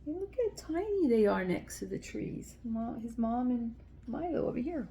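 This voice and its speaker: A woman's voice: fairly high pitch, sing-song, moderate pace, vocal fry, mellow. A woman hosting a children's story time, reading aloud and speaking directly to young listeners in simple, explanatory language.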